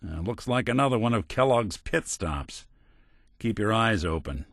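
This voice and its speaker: An actor playing a weary, wry robot detective with a conscience in a video game, speaking in a hardboiled 1940s American style with dry, streetwise delivery. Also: male voice, fairly low pitch, animated, moderate pace, dry recording. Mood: focused, investigative.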